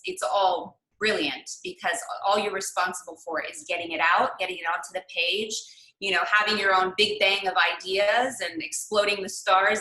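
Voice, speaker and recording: Woman workshop leader, bright and clear, reflective and unhurried with lots of pauses; video-call audio in a roomy space. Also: mid-range voice. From United States. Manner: reflective, searching, with frequent pauses and fillers. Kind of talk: online master class talk. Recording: echoey room.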